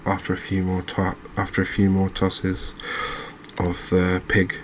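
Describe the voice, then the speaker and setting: Deep voiced guy doing asmr gaming commentary, pretty monotone, gentle n unhurried, faint noise behind him. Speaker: host of a gaming channel. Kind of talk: ASMR let's-play commentary.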